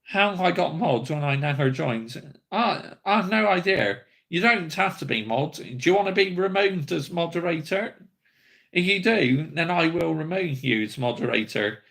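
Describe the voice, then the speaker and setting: Man, 30s, livestream host, angry blunt rant, gravelly, bright. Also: fairly high pitch, lively intonation, moderate pace. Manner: angry, blunt ranting, sweary. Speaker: football fan channel host.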